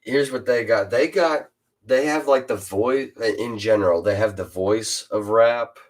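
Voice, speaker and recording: A teenage boy with a very deep voice, hosting a casual chat with a fellow rapper and hesitating often. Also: measured pace. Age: teens. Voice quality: super deep voice. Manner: hesitant, lots of uh and restarts. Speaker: host interviewing a fellow rapper.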